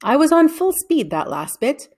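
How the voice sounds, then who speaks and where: Woman doing a bossy sure-of-himself schoolboy in a storybook, earnest, pitched up. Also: sing-song, normal speed, a little mellow. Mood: serious. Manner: earnest, assertive. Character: confident, insistent schoolboy who takes charge.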